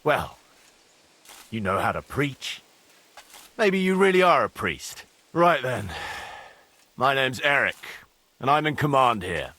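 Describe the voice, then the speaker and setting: A man playing a blunt, mocking, foul-mouthed medieval mercenary commander in a video game, with a husky, forceful voice over music. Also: medium pitch, big pitch swings, slow pace, pauses often, exaggerated voice. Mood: suspicious. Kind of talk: video game cutscene acting.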